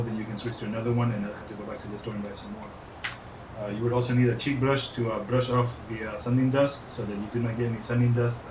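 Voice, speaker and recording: Monotone man with a put-on French accent. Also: medium pitch. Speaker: tutorial presenter. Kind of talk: informal how-to tutorial. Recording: roomy echo, faint background noise.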